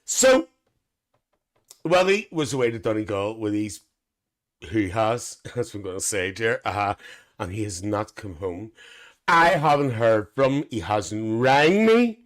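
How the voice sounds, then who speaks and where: A man's voice: fairly low pitch, big pitch swings, unhurried. A man playing a gossipy older aunty who hosts a live stream, chatting bawdily with viewers and calling them dear. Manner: bawdy, gossipy, calls viewers dear, laughs a lot. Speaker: livestream host. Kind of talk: live-stream comic chat reading comments.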